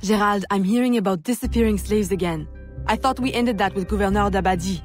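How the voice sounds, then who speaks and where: A woman's voice: mid-range voice, fairly quick, soft background music, lightly acted. An actress playing a commanding young female vigilante of the colonial era in a video game, composed, formal and firm. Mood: concerned, resolute. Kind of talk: scripted video game acting.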